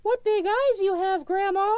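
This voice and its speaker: Sweet, naive storybook little girl, acted by a woman: very high, singsong and childlike. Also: a little slow, a little mellow, dry recording. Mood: sweet, wondering.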